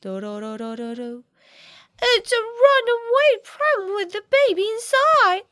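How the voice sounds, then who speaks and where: Female picture-book reader, dramatic delivery, bright high voice, close dry sound. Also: big pitch swings, no room sound. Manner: dramatic, with shouts, whispers and drawn-out words. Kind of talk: animated read-aloud storytelling for young children.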